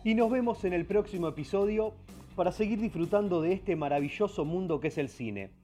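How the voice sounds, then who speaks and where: Rioplatense accent, pompous film pundit, lively intonation, slightly high pitch, slangy grumbling, comedy radio play. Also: male voice, fairly quick, faint music behind. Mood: formal, polished. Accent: Argentine Rioplatense. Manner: formal presenting that slips into slangy grumbling. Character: pompous, easily exasperated male film-expert radio host. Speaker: radio show host. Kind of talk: comedic radio theatre, mock film-show presenting.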